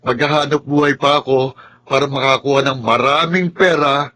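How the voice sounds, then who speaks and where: An actor playing a put-upon, resentful Filipino breadwinner son in a radio drama, slightly husky and plain-spoken. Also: male voice, mid-range voice, fairly even intonation, moderate pace, sharp tone, close to an everyday voice. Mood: bitter. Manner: plain-spoken, long-suffering.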